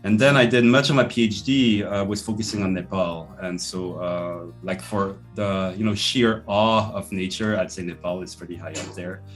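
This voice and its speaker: A lower-voiced man with a Swiss French accent talks over a webcam from a bookshelf-lined office. He answers in a thoughtful, casual way and lets each sentence fade off quietly. Faint guitar music plays underneath. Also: steady tone, moderate pace, few pauses. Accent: French-speaking Swiss. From Switzerland. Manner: thoughtful, trails off softly at sentence ends. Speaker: guest, professor. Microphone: video call webcam.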